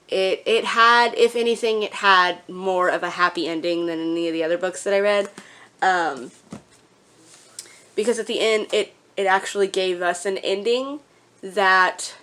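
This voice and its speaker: A woman vlogger chatting casually to her viewers in a gushing, emphatic way. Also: mid-range voice. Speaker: book vlogger.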